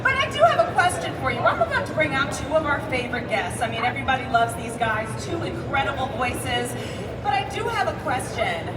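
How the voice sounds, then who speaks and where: A woman hosting a convention stage, excitedly hyping up the crowd. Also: sits high, a little fast. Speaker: event host. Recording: echoey hall from far off, loud crowd.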